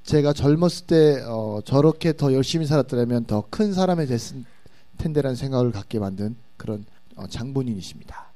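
A man's voice: medium pitch, lively intonation, fairly quick, dark tone. A middle-aged man co-hosting a live stage talk show, introducing guests and bantering.

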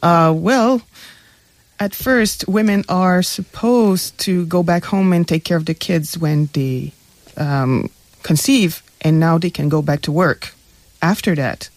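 A woman's voice: fairly low pitch, slow pace, a little mellow, no echo. A young woman from Quebec, a graduate student guest, answering earnestly on a radio talk show while searching for words. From Quebec, Canada.

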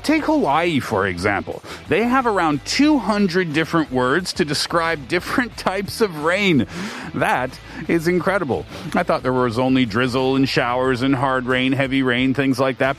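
A man's voice: on the high side, lively intonation, moderate pace, a bit bright. A man from the East Coast of Canada hosting a radio show from a studio, explaining steadily with the odd filler. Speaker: radio host. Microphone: studio mic.

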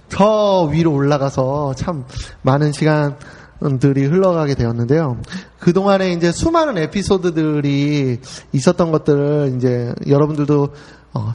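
Man in his forties, talk host, chatty with filler words, slightly high voice, long hall echo. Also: mellow. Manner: chatty, frequent filler "ye", laughs easily. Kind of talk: casual podcast talk.

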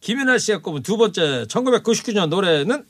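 A man's voice: sits high, animated, fast, heavy background noise. A man in his sixties hosting a radio show, playful and teasing in his banter. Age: 60s. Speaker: radio host. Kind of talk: lively radio chat. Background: music bed.

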